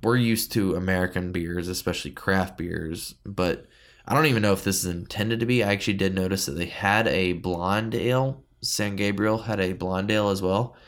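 Guy with a lowish voice hosting a casual beer podcast, chatty and into it. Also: normal speed. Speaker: podcast co-host.

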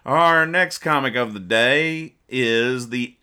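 A man's voice: mid-range voice, slow pace, pushed and bright, clear, resonant tone. A man hosting a comedy podcast, casually reading out and explaining comics in a rambling, mock-earnest way. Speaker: podcast host.

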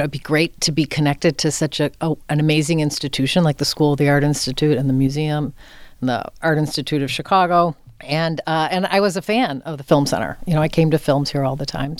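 Middle-aged female interview guest, arts leader, smooth resonant voice, close dry sound, candid and conversational. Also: low-pitched, dry recording. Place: inside a cinema theater space.

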